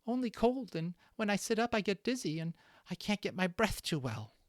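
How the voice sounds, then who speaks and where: A man performs a sickly little mouse boy from a storybook. The voice is raised high, weak and polite, in short phrases, recorded dry. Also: sing-song, moderate pace, dry recording. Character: frail, sick young mouse boy, gentle and polite.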